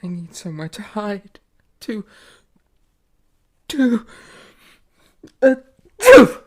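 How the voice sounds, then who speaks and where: A woman playing an awkward, sickly young gentleman who stammers nervously with a stuffed-up nose, in an audio drama. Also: mid-range voice, huge pitch swings, drawn-out words, full character voice. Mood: flustered, anxious. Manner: stammering, flustered, sniffly.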